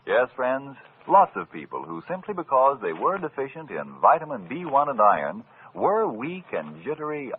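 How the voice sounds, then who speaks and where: Vintage male announcer: polished salesman delivery, lively swings in pitch, phone-like old radio fidelity with faint hiss. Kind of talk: 1940s radio announcing and advertising. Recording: muffled, hissy old radio broadcast.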